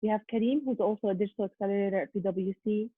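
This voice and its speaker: A woman giving a prepared, earnest presentation over a video call, steady with frequent um fillers. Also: mid-range voice, very fast, husky, no echo. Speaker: conference presenter. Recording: tinny phone-like line.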